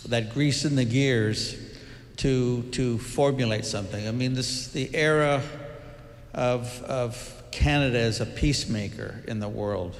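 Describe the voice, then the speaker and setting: A man moderating a public Q&A, hesitant and searching for words. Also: medium pitch. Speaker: event moderator. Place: large church hall. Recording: long reverb in a church hall.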